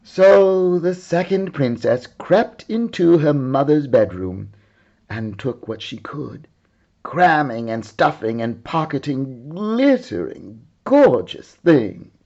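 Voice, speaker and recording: A man tells a children's story with theatrical flair. His fairly high voice moves slowly and swoops widely in pitch. The recording is slightly dull. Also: very animated, mellow. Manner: dramatic, deliberate, theatrical. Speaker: storyteller, art teacher. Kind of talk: expressive children's story read-aloud.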